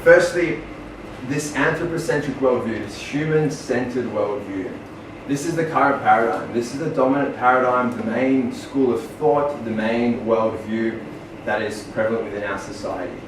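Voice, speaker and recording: A man's voice: medium pitch, normal speed. Australian male speaker, earnest talk, distant mic, echoey room. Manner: earnest, with many ums and restarts. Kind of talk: public presentation. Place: small venue, far from the mic. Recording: echoey room from far off, noisy.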